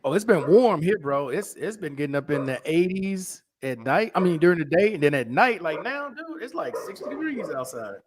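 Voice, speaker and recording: Laid-back man with a high voice. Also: very animated, normal speed, hardly any pauses, a crowd in the background. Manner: laid-back slangy talk, calls people bro and dude. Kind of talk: casual chat.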